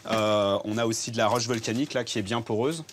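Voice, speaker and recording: A man giving a casual on-site explanation of a low-tech compost filter, halting often with fillers and restarts. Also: fairly even intonation, slight background noise. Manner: hesitant, many fillers and restarts.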